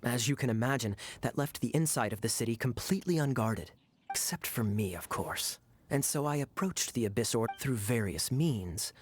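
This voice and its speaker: An actor voicing a smooth, flirtatious male cavalry captain who speaks in a suave, teasing, unhurried way. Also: medium pitch, lively intonation, close to an everyday voice. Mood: sly.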